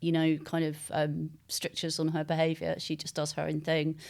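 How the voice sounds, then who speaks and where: A woman novelist being interviewed, speaking conversationally and thoughtfully, often pausing to search for words. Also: sits low, completely flat delivery, vocal fry, darkish tone.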